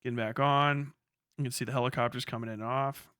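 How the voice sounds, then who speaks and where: A man's voice: medium pitch, fairly even intonation, quick pace, a bit bright, smooth, dry recording, studio quality. A man giving casual, off-the-cuff livestream commentary as a military veteran, slangy and full of swearing. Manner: profane, slangy.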